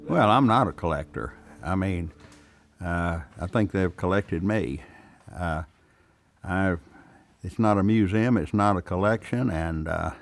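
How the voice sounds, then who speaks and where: Casting: male, around eighty, low-pitched voice, folksy unscripted storyteller, plainspoken veteran flier. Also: measured pace, gravelly. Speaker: veteran warbird pilot recalling his career.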